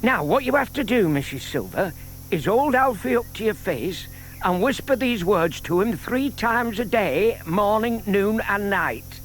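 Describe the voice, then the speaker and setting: An actor playing a shy, scheming older gentleman who explains things patiently and politely in a children's audio drama. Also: sits high, expressive, measured pace, rough recording. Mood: earnest, urging. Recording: audible hiss from old cassette tape.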